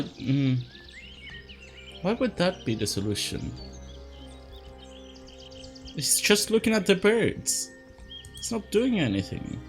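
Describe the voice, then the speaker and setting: A young man whose first language is Italian, rambling and self-mocking while commenting on a game he plays, laughing often. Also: high voice. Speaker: let's play host. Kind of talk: casual gaming commentary.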